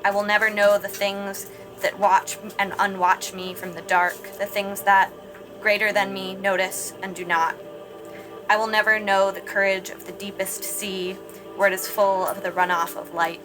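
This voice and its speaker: A woman recites a poem slowly, her pitch staying flat and even from line to line. Music plays clearly beneath her voice. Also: rough recording. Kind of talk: poetry reading.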